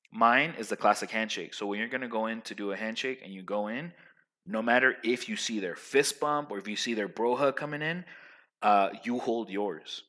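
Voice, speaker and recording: A young man giving casual social advice to his viewers in a loud, emphatic, rambling way, full of restarts. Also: fairly low pitch.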